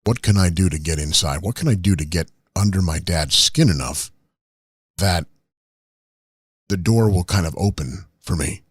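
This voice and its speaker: Man plays older crude tycoon, improvised comedy podcast; very low, husky, dry close mic, halting ramble. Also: no echo. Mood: smug. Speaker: comedy podcast guest character.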